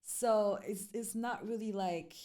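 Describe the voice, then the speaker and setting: A young woman guest singer in a relaxed interview, rambling with lots of fillers. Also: mid-range voice, slow. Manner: relaxed, rambling with many "like" and "you know". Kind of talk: casual interview chat.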